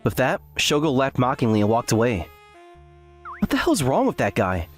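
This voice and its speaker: A man's voice: medium pitch, big pitch swings, normal speed, faint music behind. A man playing an earnest, self-deprecating graduate student who narrates his story in an anime-style dub. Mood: annoyed. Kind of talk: first-person scripted narration with acted dialogue.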